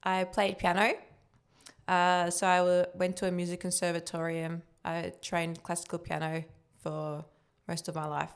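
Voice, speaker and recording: Smooth-voiced young woman, relaxed guest. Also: on the low side, normal speed. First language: Turkish. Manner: relaxed, chatty answers. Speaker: guest, building cost estimator. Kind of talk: casual podcast interview.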